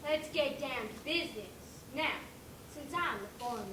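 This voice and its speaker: A woman's voice: high voice, moderate pace, lightly acted. A young woman playing a sensible, authoritative pig foreman in a stage comedy, explaining things in an orderly way. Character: sensible, take-charge pig foreman of a jury. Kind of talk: amateur school stage comedy. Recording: distant, echoey, noisy stage recording.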